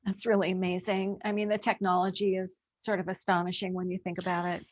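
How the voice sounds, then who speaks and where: A woman's voice: fairly low pitch, level tone, fairly quick. A woman hosting a casual, conversational interview. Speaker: interviewer, host.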